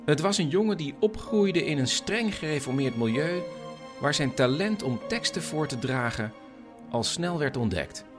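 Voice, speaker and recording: Male narrator with lively, sweeping intonation. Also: a little high, normal speed, music in the background. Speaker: radio documentary narrator. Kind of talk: scripted narration.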